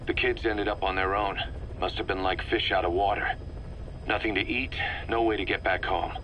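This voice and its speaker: An actor playing a serious military second-in-command in a video game, giving measured, analytical briefings. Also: male voice, lowish voice, steady tone, sharp tone, slight background noise, rough recording. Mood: grave, explanatory. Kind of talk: video game voice acting, dialogue.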